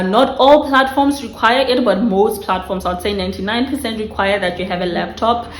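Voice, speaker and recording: A woman's voice: medium pitch, reverberant, a little hiss or hum. A woman from South Africa with a South African accent, casually explaining tips to her viewers at home, often restarting her phrases. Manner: chatty, restarts phrases, addresses viewers directly. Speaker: vlogger giving career tips. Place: home room by a street.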